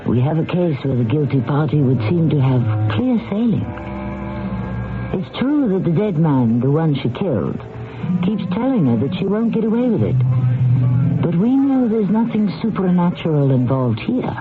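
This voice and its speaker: A man's voice: fairly high pitch, very animated, moderate pace. A man hosting and narrating a mystery drama in a theatrical, questioning manner. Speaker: host and narrator. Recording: tinny old radio, music behind.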